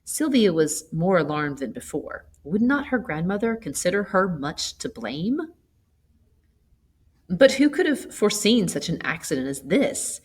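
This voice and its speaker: A woman reading a literary short story aloud as an expressive narrator. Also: on the low side, measured pace, husky. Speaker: story reader.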